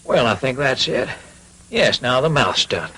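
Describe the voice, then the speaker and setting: A man's voice: medium pitch, expressive, unhurried, sharp tone, a little hiss or hum, low-quality recording. An actor playing a kindly old woodcarver and father, muttering and exclaiming as he gets exasperated, in a cartoon dub. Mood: indignant. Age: older. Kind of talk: animated cartoon dub acting. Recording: music behind the voice.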